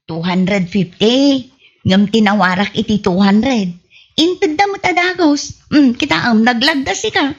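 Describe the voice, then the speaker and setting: A woman with an Ilocano Filipino accent playing a chatty, helpful village wife who grumbles good-naturedly, in a husky-voiced radio comedy drama. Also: fairly high pitch, expressive, measured pace, dull audio, heavily acted. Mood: eager, coaxing.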